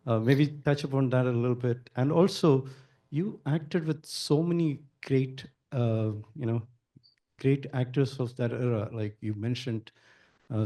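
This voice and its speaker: Man, mellow voice, moderator asking questions, polite, halting, video call. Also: medium pitch. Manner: polite, halting, filler-heavy. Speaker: festival moderator. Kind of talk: interview questions.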